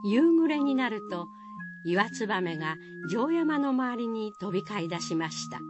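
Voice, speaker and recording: A woman's voice: medium pitch, sing-song, moderate pace, lo-fi sound, music in the background, close to an everyday voice. A woman narrating a Japanese village folktale in a scripted radio drama style. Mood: measured.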